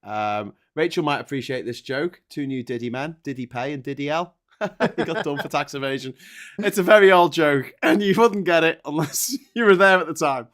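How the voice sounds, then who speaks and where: A man's voice: on the high side, expressive, moderate pace, fairly bright tone. A middle-aged man from Liverpool with a Liverpool accent, chatting casually with wry jokes and anecdotes. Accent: Liverpool English. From Liverpool, England. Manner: joking, wry, sweary. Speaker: panelist on a live online chat show. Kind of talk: casual political banter and anecdotes.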